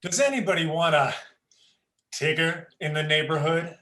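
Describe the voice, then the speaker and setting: A man's voice: fairly high pitch, moderate pace, bright, forceful tone. A man playing a cocky, flirty, playful tiger in a play reading, recorded with a roomy sound. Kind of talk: dramatized play script reading.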